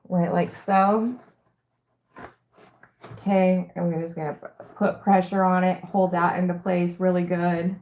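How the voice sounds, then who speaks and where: A middle-aged woman with a slightly hoarse voice, hosting a live stream and chatting her way through a craft demonstration step by step. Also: lowish voice, a little mellow. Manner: chatty, rambling, talks through each step.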